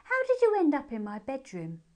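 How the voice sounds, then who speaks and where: A woman's voice: sits high, wildly swooping pitch, moderate pace, a little mellow, pitched above the performer's own voice. A woman voicing a spoilt, plucky little princess in a children's storybook, with a childlike, demanding manner. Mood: puzzled.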